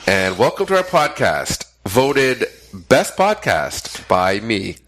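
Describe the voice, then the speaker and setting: A man's voice: on the low side, very animated, takes it slowly, a bit bright. A young man co-hosting a podcast in casual chat, his voice slightly nasal and congested from a cold, joking and rambling. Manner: joking, rambling, self-interrupting. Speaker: podcast co-host.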